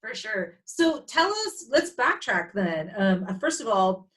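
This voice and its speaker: A woman hosts a casual interview over a video call, playful and quick to laugh. Her voice moves a lot in pitch and sounds animated as she reacts to her guest.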